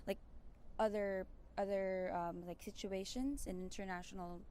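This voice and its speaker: A woman's voice: medium pitch, fairly even intonation, a little slow, a little mellow. A young adult woman with a Filipino accent, answering informally in a loose, rambling way. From Philippines. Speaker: master's student interviewee. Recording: faint ambient background noise.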